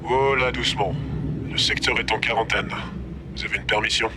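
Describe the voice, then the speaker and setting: A man's voice: lowish voice, steady tone, a little fast, background noise, natural voice. A man playing a wary, weary gate operator on duty in a sci-fi audio drama. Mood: wary, resigned.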